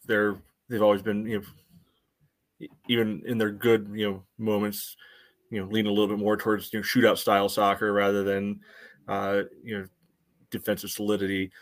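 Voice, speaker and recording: A man with a fairly low voice offers casual sports analysis as a podcast co-host. His delivery is measured and halting, padded with frequent little hedges. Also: monotone. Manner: hesitant, measured, frequent "you know" hedging.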